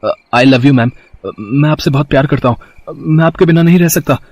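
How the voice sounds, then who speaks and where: A man's voice: on the high side, a little fast, a little mellow, no room sound, close to an everyday voice. A young man voicing an infatuated male student in a Hindi audio story, earnest and pleading, often starting with a hesitant "uh".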